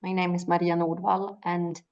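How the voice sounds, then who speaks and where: Low, creaky young woman. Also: level tone, normal speed, dull audio. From northern Sweden. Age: young adult. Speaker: panelist, PhD researcher. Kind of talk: self-introduction at an online panel. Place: small indoor room, video call.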